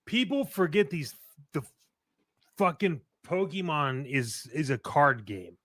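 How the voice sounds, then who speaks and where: Male podcast co-host, slightly high voice, dry sound. Blunt, profane, argumentative, casual. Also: very animated, slow pace, a bit bright, no echo.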